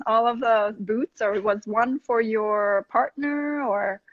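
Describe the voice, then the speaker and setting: A woman's voice: a little high, takes it slowly, no echo. A woman hosting a casual interview over a video call, encouraging her guest with frequent short affirmations. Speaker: host. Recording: dry, muffled video-call audio.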